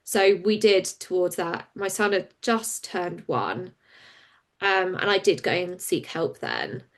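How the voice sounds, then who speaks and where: Frank woman telling her story. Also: lowish voice. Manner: open and frank, quick and animated when recounting. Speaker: campaigner and guest. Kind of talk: candid conversational interview.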